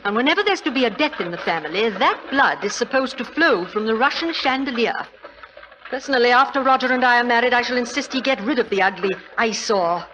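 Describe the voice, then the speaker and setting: An actress playing a frank, wealthy middle-aged society widow, imperious and chatty, in 1940s radio drama style. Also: female voice, on the high side, expressive, fairly quick, pushed and bright, dull audio. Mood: frank, brisk. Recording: noisy old 1940s radio recording.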